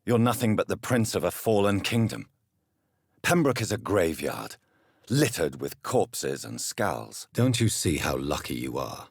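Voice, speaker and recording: An actor playing a grave, educated gentleman doctor in a video game, stern yet courteous, with a husky voice and formal, measured diction. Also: sits low, very animated, no room sound, studio quality, exaggerated voice. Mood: accusing. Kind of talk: dramatic video game dialogue.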